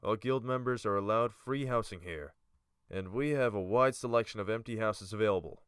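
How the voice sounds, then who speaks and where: A man playing a calm, authoritative guild-leader mage in a fantasy audiobook, speaking in a measured, smooth voice. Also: lowish voice, dry recording, clean studio sound, natural voice. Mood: welcoming, instructive.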